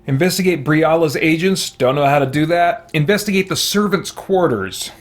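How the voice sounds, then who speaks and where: A man's voice: a little high, moderate pace. A man giving casual gameplay commentary on a livestream, thinking aloud in a rambling, hesitant way and chatting with viewers.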